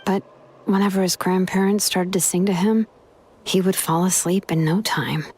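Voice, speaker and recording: A woman playing a composed, serious young female leader in a game, speaking in a measured, gentle, explanatory way. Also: fairly low pitch, fairly even intonation, breathy edge. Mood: gentle, explaining. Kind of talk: English game dub acting.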